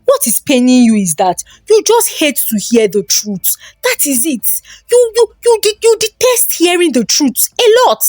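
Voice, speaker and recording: A scornful Nigerian wife, as a woman storyteller puts her on, scolding and belittling in a folktale. Her high voice swings sharply with sarcastic exclamations, recorded close and dry. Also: big pitch swings, measured pace, few pauses, a little mellow, no echo. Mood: angry, insistent.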